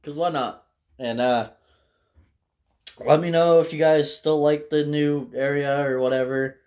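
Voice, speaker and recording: A man's voice: on the high side, steady tone, darkish tone, dull audio. Young American man rambling through a story. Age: young adult. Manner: loose, profane, halting delivery. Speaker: vlogger telling a personal story. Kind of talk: casual rambling storytelling to camera. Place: small indoor room with bare wall.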